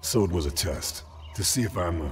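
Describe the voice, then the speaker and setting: Very low male voice, hard-bitten soldier, terse and dry, game cutscene. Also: lively intonation, a little fast, music in the background. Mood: wry. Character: laconic, hard-bitten male Allied sniper agent in wartime. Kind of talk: video game cutscene acting.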